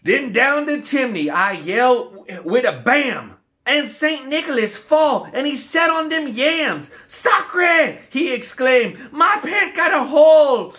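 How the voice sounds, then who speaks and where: An excitable, folksy Cajun man tells a rhyming storybook tale. His voice is pitched very high and swoops boldly, with a bright, exuberant, declamatory edge. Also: slow pace, full character voice. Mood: animated, exclaiming. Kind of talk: rhymed storybook dialect read-aloud.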